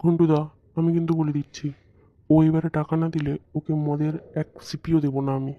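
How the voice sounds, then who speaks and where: A man's voice: on the high side, little pitch movement, brisk, highs cut off, a little hiss or hum, close to an everyday voice. A man voicing a quarrelsome young club member in a comic cartoon, complaining and crude. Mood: grumbling. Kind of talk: comic Bengali cartoon acting.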